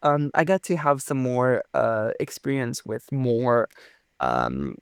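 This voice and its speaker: A man's voice: mid-range voice, animated, slow pace, clean tone, dry recording, clean studio sound. A young man from Romania, a physicist guest, telling his story in a casual interview with enthusiastic, theatrical phrasing.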